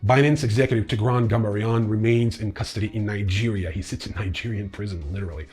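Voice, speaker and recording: A man's voice: lowish voice, fairly even intonation, fast talker, a little mellow, husky. A man hosting a newsreel, reading scripted news hesitantly and adding casual, blunt, irreverent opinions. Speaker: newsreel host.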